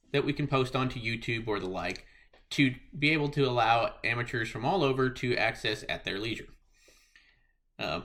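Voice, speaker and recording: A man's voice: mid-range voice, pushed and bright. A man giving a scripted presentation as a member of a hobby club.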